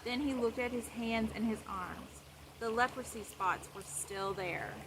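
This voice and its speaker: A woman's voice: fairly high pitch, unhurried. A woman reading a Bible story aloud for children, explaining it simply and repeating phrases. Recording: clear steady background noise.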